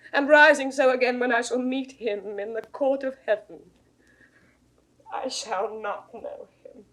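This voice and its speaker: An actress playing a grief-stricken widowed mother in a classical Shakespearean audio drama, with theatrical, declamatory delivery that swings between hush and wail. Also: sits high, poor audio. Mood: despairing.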